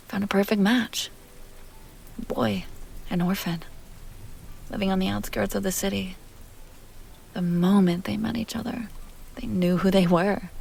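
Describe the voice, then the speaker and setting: A woman performs as an immortal vampire heiress in an intimate ASMR roleplay. Her voice is husky and breathy, often sinking into a whisper with a crackle of fry. She is unhurried and coaxing, by turns teasing, tender and wistful. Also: medium pitch, a little mellow. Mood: nostalgic, tender.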